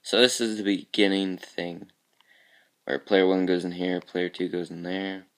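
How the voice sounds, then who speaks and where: A man walking through how he built his game levels, talking casually with a groggy, raspy voice because he has just woken up, often trailing off into quiet mumbles. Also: sits low, little pitch movement, measured pace. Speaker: level creator showing his game work. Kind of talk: casual screen-capture walkthrough.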